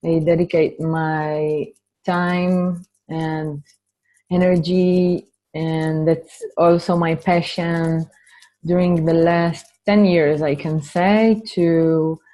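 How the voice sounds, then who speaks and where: A woman with an Israeli accent speaking gently and informally as she leads a spiritual healing webinar. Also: low voice, level tone, extremely slow, poor audio. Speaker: spiritual healer hosting a webinar. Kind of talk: guided meditation and informal webinar talk. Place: online webinar from a computer.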